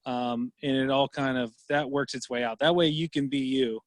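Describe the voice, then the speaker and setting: A man's voice: medium pitch, fairly even intonation, moderate pace, no room sound. A man in a casual podcast chat, giving advice hesitantly with many ums.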